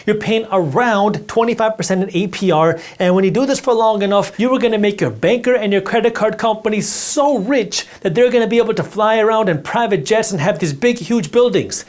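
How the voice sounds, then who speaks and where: A man's voice: high-pitched, a little fast, few pauses, darkish tone. A man whose first language is Punjabi, teaching personal finance in a direct, emphatic way while drawing on a board and speaking straight to the viewer.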